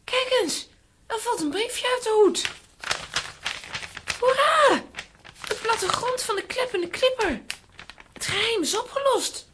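An excitable young girl adventurer, with a very high, swooping voice. She performs in a children's audio drama, eager and bold, over faint background noise. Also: takes it slowly, long pauses, exaggerated voice. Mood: excited, jubilant.

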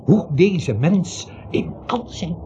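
An actor playing an arrogant, coldly obsessive doctor in a radio play, pompous, deliberate and condescending. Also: male voice, high voice, expressive, warm tone. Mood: obsessive, gloating. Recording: muffled old radio recording, faint hiss.

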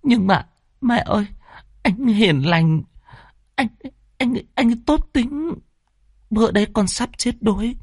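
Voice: medium pitch, expressive, slow, long pauses, a little mellow, breathy edge, no echo, studio quality, voice pushed up, exaggerated voice. A storyteller voicing a meek, frightened young daughter, stammering and begging tearfully. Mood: meek confession. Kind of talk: dramatic audio storytelling.

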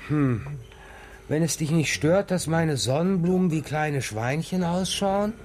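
A man's voice: a little high, unhurried, a little mellow, a little hiss or hum, natural voice. An actor playing an evasive, dreamy, restless father in a children's radio drama, hesitant and stammering as he pleads his excuses. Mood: wry, dreamy.

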